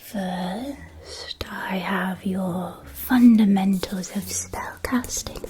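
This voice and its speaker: A woman's voice: mid-range voice, very slow, dark tone. A woman playing a courteous, doting shopkeeper of a witch school in an ASMR roleplay, speaking in a genteel, old-fashioned way. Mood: courteous, encouraging.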